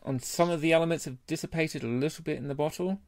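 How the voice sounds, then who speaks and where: A man's voice: on the high side, quick pace, no echo. A British man co-hosting a casual beer podcast in a blokey, informal, sweary way. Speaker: podcast co-host.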